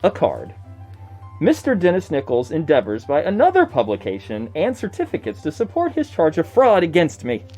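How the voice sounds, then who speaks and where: A man's voice: a little high, wildly swooping pitch, moderate pace, warm tone, slightly rough, faint music behind, exaggerated voice. Man acting an indignant horse seller, wildly swooping delivery. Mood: indignant, defiant. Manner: theatrical, declamatory, scornful. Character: indignant nineteenth-century horse seller hurling accusations of fraud. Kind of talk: dramatic reading of old newspaper notices.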